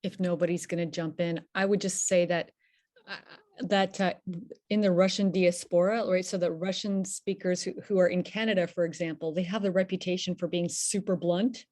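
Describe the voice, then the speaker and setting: A woman chiming in during a webinar discussion, animated and chatty, full of "like" and "you know". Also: lowish voice, normal speed. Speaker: webinar participant. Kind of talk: offering an opinion in class discussion.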